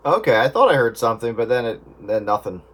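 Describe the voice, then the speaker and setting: A man's voice: mid-range voice, sing-song, fast. A man chatting casually over two-way radio in plain, folksy radio slang. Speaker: amateur radio operator. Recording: very noisy radio with static and clicks.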